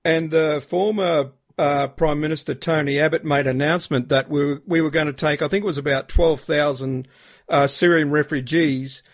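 A man's voice: on the high side, fairly even intonation, moderate pace. A man from Australia, co-hosting a talk show, chatty with asides and fillers. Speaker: co-host. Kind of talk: casual radio interview talk.

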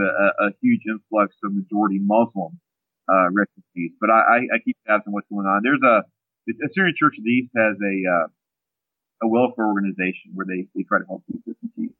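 Phone-in guest, a man with a deeper voice, talks in halting stops and starts, dry narrow line. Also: dry recording. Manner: halting, many fillers and restarts. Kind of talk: casual radio interview talk.

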